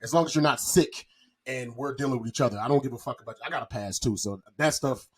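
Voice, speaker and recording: A man in his forties talks in a casual podcast style, with a slightly rough, husky edge to his voice. He is candid and slangy, rambling from one phrase to the next at a middle pitch. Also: fast talker. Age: 40s.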